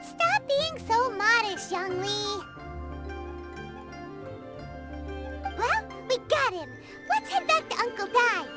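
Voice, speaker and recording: A tiny fairy sidekick from a video game, speaks in an extremely high, squeaky childlike voice. The delivery is animated, emphatic and boastful, over prominent music. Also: female voice, unhurried, heavily acted. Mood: proud. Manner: refers to self in third person, emphatic. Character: tiny excitable female fairy companion, childlike, boastful, loyal. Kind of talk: video game character dialogue.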